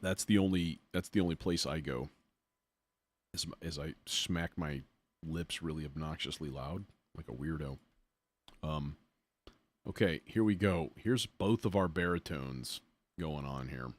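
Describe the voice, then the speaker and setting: A man hosting a casual music production demo, thinking aloud in an informal, self-deprecating way as he works. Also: extremely low pitch. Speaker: livestream host, music producer demonstrating software.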